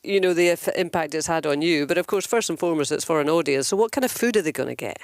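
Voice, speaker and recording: High, smooth-voiced male radio host. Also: fast talker, no room sound. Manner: affable, probing questions with hesitations. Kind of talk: radio interview.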